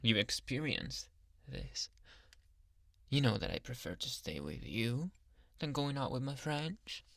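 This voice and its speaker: Male actor as young yandere doctor, gravelly fry, unhurried, breathy half-whispers, sweetly menacing, possessive, close-miked ASMR roleplay. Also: medium pitch, sing-song, a bit bright, dry recording, full character voice. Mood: soothing, doting. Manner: slow, breathy murmuring that slips in and out of whispers. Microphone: close microphone.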